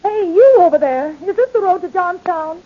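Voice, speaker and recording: A woman's voice: high-pitched, animated, fast talker, husky. An actress playing a forthright, assertive woman witness in an old radio mystery drama. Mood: calling out. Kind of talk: 1940s radio drama acting. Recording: muffled old radio recording.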